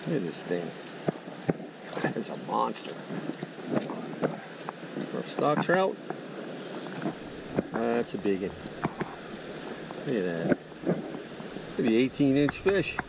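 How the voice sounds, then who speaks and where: A middle-aged man giving casual, excited commentary while fishing outdoors by a creek, recorded on a head-mounted action camera. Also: medium pitch, gravelly. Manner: excited exclamations, folksy talk. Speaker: fishing vlogger. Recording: outdoor action camera with loud water noise. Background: rushing water noise.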